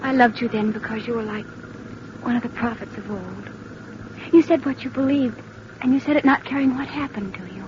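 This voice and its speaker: An actress playing an anxious, devoted young sweetheart, earnest and insistent, in an old-time radio drama. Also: female voice, fairly high pitch, a little fast, natural voice. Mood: anxious, pleading. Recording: muffled, noisy vintage radio recording.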